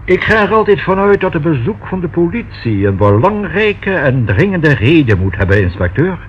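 Dignified old notary for radio drama: highish, animated pitch, formal and wordy, dull old recording. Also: male voice, normal speed, slight background noise. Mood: courteous, measured. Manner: polite, precise, wordy and dignified. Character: formal, courteous old male lawyer and notary. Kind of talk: Dutch radio play acting.

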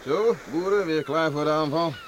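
A middle-aged man playing a gruff, hard-working farmer father with a kind core in a radio drama. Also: a little high, normal speed, natural voice. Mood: brisk, jovial. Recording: faintly noisy old radio recording.

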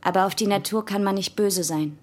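An actress plays a sardonic teenage girl in a radio drama. Her voice sits at a medium pitch, and she speaks in a dry, blunt, challenging way with natural delivery. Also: a little fast, a little mellow, lightly acted. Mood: serious, reflective.

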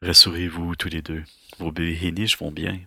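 Low, gravelly male doctor played by an actor. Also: little pitch movement, moderate pace, sharp tone, exaggerated voice. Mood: reassuring. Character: calm, reassuring male doctor in a science fiction story. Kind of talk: audio drama dialogue.

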